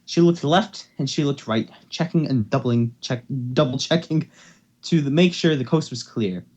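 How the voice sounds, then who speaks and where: A man's voice: mid-range voice, moderate pace, darkish tone, lo-fi sound. A man hosting an amateur fan fiction reading, who stumbles over words and repeats phrases as he goes. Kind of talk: amateur dramatic story reading.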